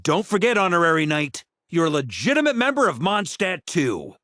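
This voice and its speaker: An actor playing a relaxed, wry knight captain in a video game, encouraging his allies. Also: a little high, moderate pace, dry recording, studio quality. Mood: rallying.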